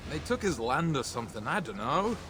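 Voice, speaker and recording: A man's voice: on the high side, sing-song, a little fast, noisy background. An actor playing an indifferent, gossiping male mercenary guard in a video game, talking casually and offhand. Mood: dismissive. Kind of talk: video game background dialogue. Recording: loud outdoor game ambience over voice.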